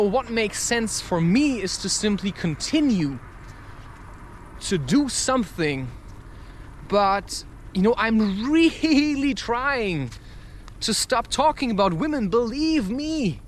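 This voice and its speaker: High-pitched, animated man rambling slowly outdoors. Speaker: vlogger recording a spoken-word podcast. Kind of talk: rambling, confessional monologue to listeners. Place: outdoors, recorded while walking.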